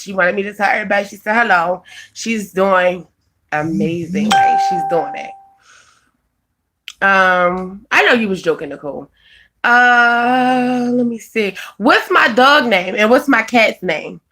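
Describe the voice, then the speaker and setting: A woman's voice: medium pitch, lively intonation, unhurried, sharp tone. A woman, chatting casually on a live stream, answering viewers by name and laughing often. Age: 20s. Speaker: vlogger reading live chat.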